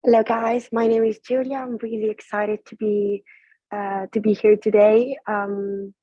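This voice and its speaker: A woman from Italy with an Italian accent, introducing herself on a webinar call in an earnest, halting way. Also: mid-range voice, level tone, slightly rough, dry recording. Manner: earnest, hesitant with fillers. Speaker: webinar panelist. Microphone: video call. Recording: dull, dry lo-fi audio.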